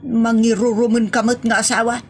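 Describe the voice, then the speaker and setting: A quick-tempered wife in a comedy audio drama, voiced by a woman. Her voice sits a little high, and she nags and complains in a sharp scolding way. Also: level tone, a little hiss or hum, close to an everyday voice. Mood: nagging. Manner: nagging, complaining.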